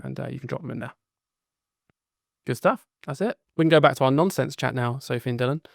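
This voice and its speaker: A man's voice: mid-range voice, fast, vocal fry, dry recording, studio quality. A British man hosting a teachers' webinar over a video call, upbeat and jokey, thanking guests a lot. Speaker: webinar host.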